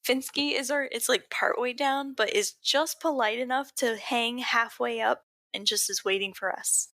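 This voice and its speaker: A woman at a tabletop game thinks out loud about where to go next. Her voice is a little high and recorded dry and close. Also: steady tone, normal speed, dry recording. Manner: working out directions aloud. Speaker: player.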